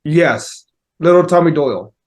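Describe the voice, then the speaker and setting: A man's voice: on the high side, lively intonation, a little slow. A man co-hosting a podcast, teasing and laughing, swearing freely in casual chat. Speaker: podcast co-host.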